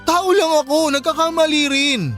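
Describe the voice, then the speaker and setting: A man's voice: extremely high pitch, fairly even intonation, moderate pace, fairly bright tone, soft background music. A man with a Filipino accent acting a remorseful, pleading young boyfriend in a radio drama, apologetic and whiny.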